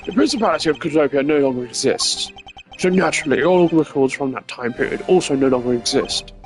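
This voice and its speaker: Man playing a pompous, booming old villain. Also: expressive, quick pace, soft background music, close to an everyday voice. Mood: smug, taunting. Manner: pompous, taunting, loud. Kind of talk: video game villain acting.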